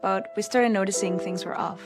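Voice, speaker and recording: A woman's voice: medium pitch, moderate pace, over music. A woman giving a scripted testimonial in a public service advertisement.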